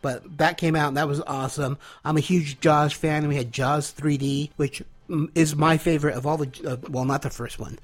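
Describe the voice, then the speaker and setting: A man's voice: a little high, fairly even intonation. A man in his thirties from California, a little congested, leaving an enthusiastic recorded comment for a podcast. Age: 30s. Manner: enthusiastic, nostalgic, with filler pauses. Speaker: caller leaving an audio comment. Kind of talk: casual recorded message.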